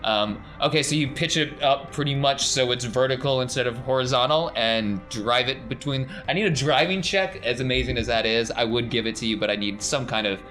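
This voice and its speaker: A man running a tabletop roleplaying game as game master, narrating off the cuff in a conversational, excitable way. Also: medium pitch, a little fast, hardly any pauses, soft background music. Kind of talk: improvised game narration, casual banter.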